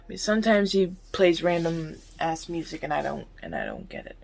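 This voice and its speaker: Young female YouTuber with a low, chatty, giggly delivery. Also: animated, fairly quick, vocal fry, a little mellow, a little hiss or hum. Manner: chatty, giggly, talks back to the recording. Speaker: YouTuber reacting to a podcast. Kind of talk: casual chat to camera.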